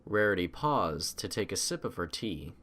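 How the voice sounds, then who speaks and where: Animated man narrating a story. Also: low-pitched, moderate pace. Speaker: audiobook narrator.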